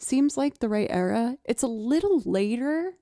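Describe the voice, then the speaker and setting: A young woman with a naturally low voice, chatting casually with her livestream audience and thinking aloud as she goes. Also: expressive, moderate pace, warm tone, no room sound, studio quality. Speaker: streamer and musician.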